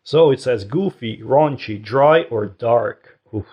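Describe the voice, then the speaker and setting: A man's voice: mid-range voice, expressive, takes it slowly, breathy edge, highs cut off. A non-native English-speaking man co-hosting a comedy podcast in casual chat, self-deprecating and thinking aloud with restarts. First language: not English. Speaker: podcast co-host.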